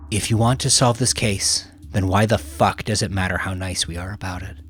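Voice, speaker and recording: A man's voice: low voice, steady tone, moderate pace, fairly bright tone, slightly rough. A man voicing a hard-nosed, fed-up female police detective in a blunt, profane way for a crime audiobook. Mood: frustrated, heated.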